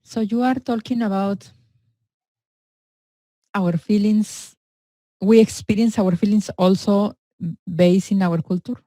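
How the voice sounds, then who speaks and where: A woman whose first language is Spanish, talking in an animated, halting way during a conversational discussion, laughing often. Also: mid-range voice, no room sound, poor audio. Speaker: co-host.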